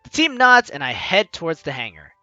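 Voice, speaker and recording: A man reads a story game aloud and jokes between lines. His voice is high and very lively in pitch. Faint music plays under him. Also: brisk, no room sound, muffled recording. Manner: animated, joking asides. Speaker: game player reading dialogue aloud. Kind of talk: let's play narration.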